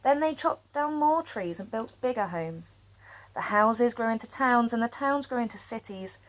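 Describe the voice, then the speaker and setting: Woman reading a kids picture book out loud, expressive, dramatic pauses. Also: on the high side, low-quality recording. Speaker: storyteller. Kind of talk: children's picture book read-aloud.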